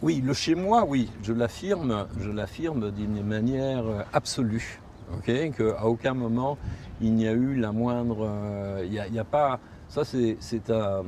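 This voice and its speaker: A man whose first language is Spanish, speaking in a reflective documentary interview, thoughtful and halting, often repeating and rephrasing as he searches for words. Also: medium pitch, warm tone, slight background noise.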